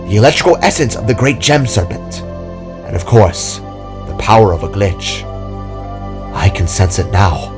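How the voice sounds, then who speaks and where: A man voicing a solemn, protective motherly fox spirit who explains things gravely in a fantasy story. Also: mid-range voice, natural voice. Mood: earnest, reverent. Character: solemn motherly female kitsune guardian, wise and protective.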